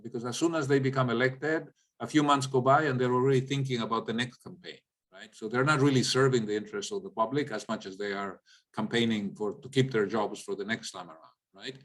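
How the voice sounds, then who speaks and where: Male economist from Latin America, medium pitch, explanatory informal lecture delivery, frequently checks listeners are following. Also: fairly quick. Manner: explanatory, often checks in with "right?". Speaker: economist, guest speaker. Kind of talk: informal lecture with audience questions.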